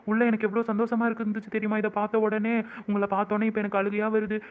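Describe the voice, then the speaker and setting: Emotional young wife, played by a man: high, rapid, prayerful; lo-fi phone-like audio. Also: fairly even intonation, close to an everyday voice. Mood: tearful joy. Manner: pleading, prayerful, weepy with joy. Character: young hopeful wife, newly pregnant, emotional. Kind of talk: home-made skit acting. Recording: tinny phone-like sound.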